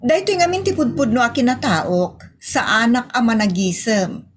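A woman's voice: a little high, big pitch swings, normal speed. A woman playing a stubborn, sassy grown child in an Ilocano comedy drama, emphatic and defiant, with an Ilocano accent.

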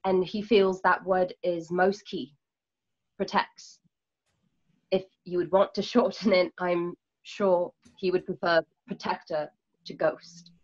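A woman playing a calm, wise healer in a radio drama, speaking in measured, formal phrases. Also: lowish voice. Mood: calm, reflective. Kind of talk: online radio drama acting. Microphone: video call, home setup. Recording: dull lo-fi video call.

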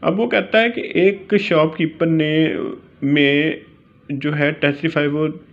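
A man with a Pakistani accent giving an informal lesson as a teacher, reading out and explaining in a halting way. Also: fairly high pitch, steady tone, lo-fi sound. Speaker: law teacher.

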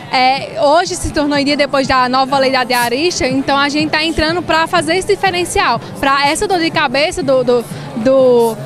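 A young adult woman, answering as a business owner in a promotional interview outdoors. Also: high-pitched. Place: outdoor evening fair booth. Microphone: handheld reporter microphone.

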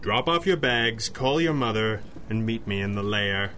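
An actor playing an unflappable, formal gentleman superhero boss in a 1930s-style radio drama. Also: on the low side, expressive, moderate pace, slight background noise. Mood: grave, brisk. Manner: crisp, formal, wryly commanding.

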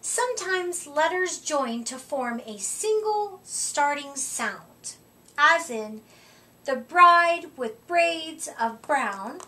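A middle-aged woman reading a rhyming picture book aloud to children, with deliberate, expressive emphasis, recorded a short way from the mic. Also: high-pitched. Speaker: children's storytime reader. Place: indoor room, seated before a curtain. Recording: voice distant from the microphone.

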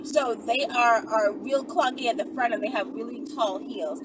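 High-voiced young woman, noisy car vlog. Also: normal speed. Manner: animated, conversational, frequent checking tags like "right?". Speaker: vlogger. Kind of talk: casual chatty storytelling to viewers. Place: inside a car in daylight. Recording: distant mic, noisy car interior.

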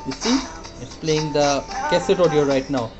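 A man demonstrating a product casually, hesitant and halting, often repeating his words. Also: on the high side, music in the background, rough recording. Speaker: product reviewer.

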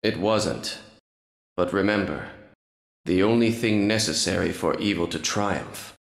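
Brooding young half-vampire nobleman, low voice, slow solemn delivery, video game drama. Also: creaky. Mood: somber, resigned. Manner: formal, solemn, measured. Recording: echoing game audio.

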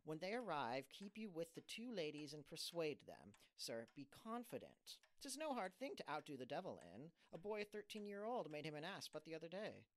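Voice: medium pitch, big pitch swings, moderate pace, hardly any pauses, close to an everyday voice. An actor playing a confident, scheming plotter in an amateur audio drama, with a persuasive, theatrical manner. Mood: sly urging. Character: scheming, confident confidant coaching a gentleman in trickery. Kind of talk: amateur audio drama of an early modern comedy.

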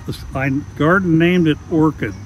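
A man's voice: fairly high pitch, normal speed, a little hiss or hum, low-quality recording. Folksy older man in his garden. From Ohio. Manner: joking, folksy. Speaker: garden owner. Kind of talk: casual interview. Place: outdoors in a sunny garden.

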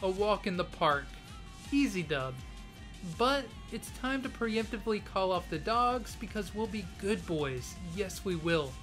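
A man's voice: high-pitched, lively intonation, measured pace, a bit bright, faint music behind. A man giving scripted anime commentary in a mock-serious, playfully dramatic way full of puns, recorded close to the mic. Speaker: anime YouTuber.

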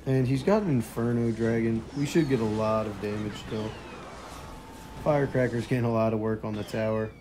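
A young man with a somewhat low, hoarse voice talks through a mobile game match in a relaxed, chatty way, chuckling softly now and then. The recording carries a clear layer of background noise. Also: a little fast. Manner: relaxed, chuckles quietly while playing. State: hoarse, partly lost voice. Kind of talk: casual gameplay commentary.

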